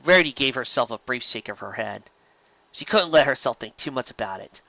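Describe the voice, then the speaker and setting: An expressive narrator reading scripted story fiction into a close microphone, on a slightly dull home recording. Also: mid-range voice, fairly quick, vocal fry. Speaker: fan fiction audiobook narrator.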